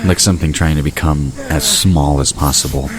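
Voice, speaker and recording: A man's voice: extremely low pitch, fairly even intonation, fairly quick, crowd noise. A man narrating a fantasy audio drama in a scripted storytelling style.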